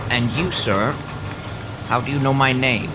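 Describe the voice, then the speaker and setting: A man's voice: mid-range voice, measured pace, lightly acted. An actor playing a haughty, demanding, wealthy male mill owner with pompous, formal speech in an audio drama. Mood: haughty, suspicious. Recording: loud machinery noise behind.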